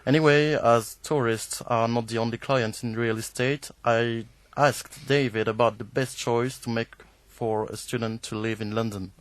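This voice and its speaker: A man's voice: medium pitch, fairly even intonation, normal speed, hardly any pauses, dry recording. A man with French as his first language, reading a scripted radio report.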